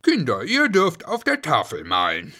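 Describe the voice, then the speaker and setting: A man's voice: on the high side, huge pitch swings, normal speed, bright, forceful tone, pitched above the performer's own voice, full character voice. A man voicing a harried, strict working father who is easily exasperated in a children's story. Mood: scolding.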